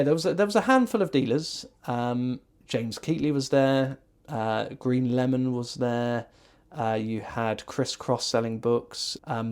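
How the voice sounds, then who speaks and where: Guy chatting away about his weekend, lots of ums, restarts himself a lot, middle pitch. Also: a little mellow. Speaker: magician reviewing a convention. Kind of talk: casual rambling recap.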